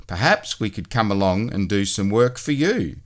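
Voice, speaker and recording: A man voicing a fussy, brisk tidy tradesman in a children's storybook, speaking in an emphatic, bossy way. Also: on the low side, very animated.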